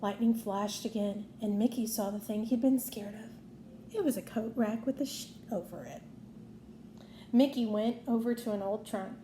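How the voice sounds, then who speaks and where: A woman's voice: on the high side, normal speed, dark tone. A woman reading a children's story aloud as narrator. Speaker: storybook reader. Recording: roomy echo, faint background noise.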